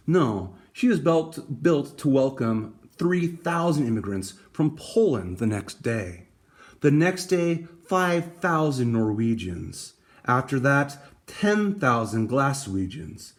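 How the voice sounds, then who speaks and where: A man reading a picture book aloud, with dramatic emphasis and deliberate pauses. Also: medium pitch. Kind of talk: expressive storybook read-aloud.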